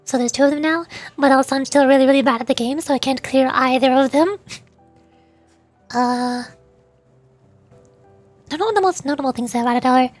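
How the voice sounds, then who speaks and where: High-voiced, giggly female streamer. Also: normal speed, long pauses, soft background music. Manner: playful, giggly, chatty. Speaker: VTuber streamer. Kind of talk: casual game commentary.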